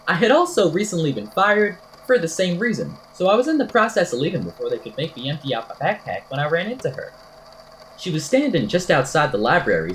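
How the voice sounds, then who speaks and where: An eccentric, whimsical podcaster played by a woman spins a supernatural tale for an audio drama. The voice sits fairly low and swings about in lively fashion, with wordy, theatrical, wry delivery. There is a little noise behind it. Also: rough recording. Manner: verbose, theatrical, wry deadpan. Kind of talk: amateur audio drama, storytelling monologue.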